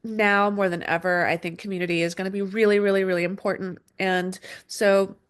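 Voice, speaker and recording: A woman's voice: mid-range voice, level tone, a little fast, clear, resonant tone. Woman host, a bit stuffy with a cold, rambling casual delivery full of ums. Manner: loose and rambling, many ums and restarts. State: slightly congested, sniffly from a cold. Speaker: astrology podcast host. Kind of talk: casual solo podcast talk. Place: indoor room with dogs. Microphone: desk setup with camera and screen share.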